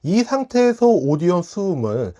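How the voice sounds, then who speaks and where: A man's voice: high-pitched, sing-song, measured pace, warm tone, highs cut off. A man hosting a tech review, narrating from a script in a polite, explanatory way. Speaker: tech review channel host.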